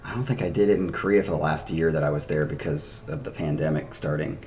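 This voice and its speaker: A man in his thirties, hoarse from a day of drinking, chatting loosely and rambling to his stream audience into a desk microphone. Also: extremely low pitch, quick pace, a little mellow, a little hiss or hum. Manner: rambling, chatty, laughs often. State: hoarse after a night of drinking. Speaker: streamer reacting to music. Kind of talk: casual chat to a live stream.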